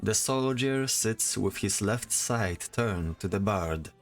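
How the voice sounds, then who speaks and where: Male narrator, fairly low pitch, scripted fantasy storytelling, faint background music. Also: animated, takes it slowly.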